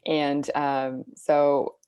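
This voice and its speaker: Very low, mellow woman explaining casually. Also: level tone, extremely slow, smooth. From Switzerland. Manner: informal, hedging with "like" and "you know". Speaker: interview guest, Montessori parenting coach. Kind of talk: casual explanatory interview talk.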